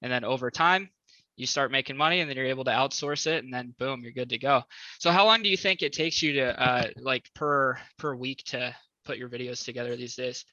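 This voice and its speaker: A man's voice: medium pitch, a little fast, bright, forceful tone, dry recording. A man hosting an interview on a video call, laid-back and affirming, casually calling his guest "dude.". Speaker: host, interviewer. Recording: dull, dry video call audio.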